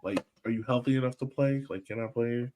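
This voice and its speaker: A man's voice: medium pitch, fairly quick, crowd noise. A man hosting a casual sports podcast panel, talking in an informal, slangy and playful way. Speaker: podcast host.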